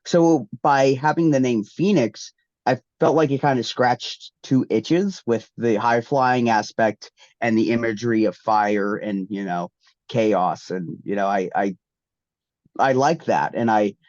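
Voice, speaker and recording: A man's voice: medium pitch, measured pace, darkish tone, no echo. A man, a wrestler getting over the flu, sounding congested and answering interview questions in a rambling way with many ums and restarts. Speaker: guest wrestler. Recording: dull, dry lo-fi sound.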